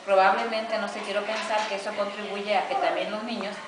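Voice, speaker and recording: A woman's voice: mid-range voice, little pitch movement, a little fast, a crowd in the background. A woman observing a class and interviewing the teacher, attentive and affirming. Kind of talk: interview questions and feedback. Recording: echoey room, distant mic, noisy background.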